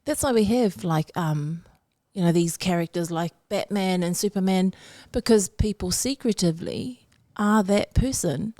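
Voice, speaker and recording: A woman's voice: fairly low pitch, a little slow, few pauses, warm tone, dry recording. A woman from New Zealand co-hosting a podcast, speaking emphatically with pointed rhetorical questions. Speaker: podcast co-host. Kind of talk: casual chat.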